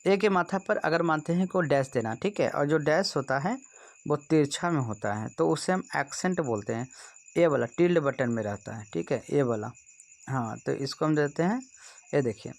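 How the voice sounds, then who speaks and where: A man with a Bihari accent and a slightly high voice teaches computing for exam preparation. He explains step by step in an instructive way. He often pauses to make sure his students are following. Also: gravelly. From India. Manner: instructive, repeatedly checks understanding. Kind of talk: explanatory exam-prep lesson.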